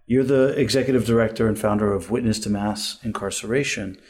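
Low-voiced interviewer, hesitant. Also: male voice, steady tone, a little fast, darkish tone. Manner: hesitant, many fillers. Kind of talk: interview questions.

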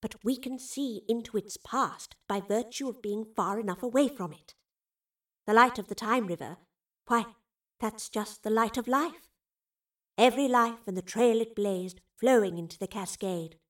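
A woman's voice: on the high side, moderate pace, distant mic, natural voice. Woman voicing a rapturous eccentric lady professor. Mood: wondering. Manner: lyrical, explanatory, affectionate.

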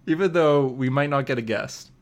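Casual, rambling male podcast co-host. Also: mid-range voice. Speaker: comic book podcast co-host. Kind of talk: casual chat.

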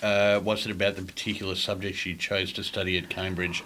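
A man's voice: deep voice, little pitch movement, fairly quick, bright, forceful tone. A man in his forties hosting a podcast, asking questions and encouraging his guest with short replies. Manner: brief encouraging backchannels. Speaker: podcast host. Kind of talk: podcast interview.

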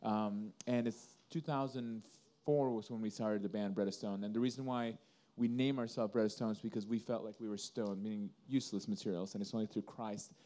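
Guy from indonesia, band musician doing a casual interview, mellow voice, earnest storyteller. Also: on the low side, steady tone, brisk.

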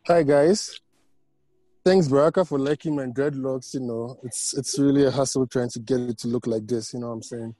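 A man from Ghana, a poet and missionary, talks in a laid-back, joking way. His voice is mellow and dark, and it is recorded close and dry. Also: mid-range voice, fairly even intonation, normal speed, no echo. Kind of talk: casual podcast chat.

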